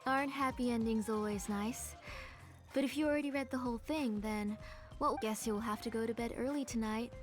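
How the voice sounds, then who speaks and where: A woman voicing a caring, gentle mother in a video game, speaking in a motherly way. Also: on the high side, brisk, soft background music, lightly acted. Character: caring, gentle mother of a frail young girl.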